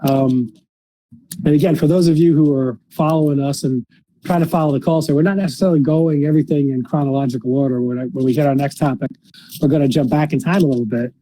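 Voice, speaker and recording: A man hosting a podcast in unscripted case discussion, speaking haltingly with frequently repeated and restarted phrases. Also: on the high side, fairly even intonation, mellow, slight background noise, poor audio. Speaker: podcast host.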